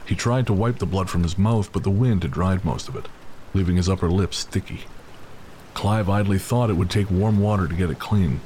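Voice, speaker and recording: Deep-voiced man narrating an audio drama. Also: moderate pace, warm tone, a little hiss or hum, poor audio. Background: music.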